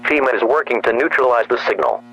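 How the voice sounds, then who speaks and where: A man reading a scripted emergency broadcast announcement in a formal, measured, impersonal manner. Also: medium pitch, very animated, a bit bright, muffled recording, slight background noise.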